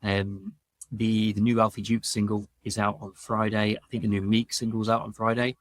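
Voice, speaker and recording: Low-voiced man, hesitant, casual podcast guest. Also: flat delivery, moderate pace. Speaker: podcast guest, audio mixing engineer. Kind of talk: casual chat.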